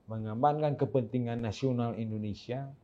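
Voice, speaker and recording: A middle-aged man, a senior naval officer, giving formal, halting interview answers with frequent restarts. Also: mid-range voice, mellow. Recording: dull lo-fi sound.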